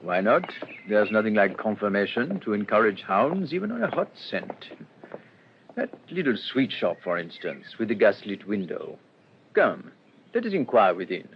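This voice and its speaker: A polite, self-assured gentleman detective from a radio crime play. His voice is fairly low with lively, theatrical intonation, on a slightly dull recording. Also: normal speed. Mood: inquisitive. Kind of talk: radio crime drama acting. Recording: dull recording with faint noise.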